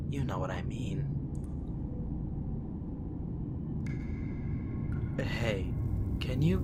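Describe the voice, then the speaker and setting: A loud ambient rumble sits under a low-pitched vampire prince acted by a man in an ASMR roleplay. He is proud and sardonic, yet devoted to his friend. His lines come haltingly, broken by breathy sighs and hushed asides. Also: natural voice. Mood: soft, protective. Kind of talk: ASMR audio roleplay acting.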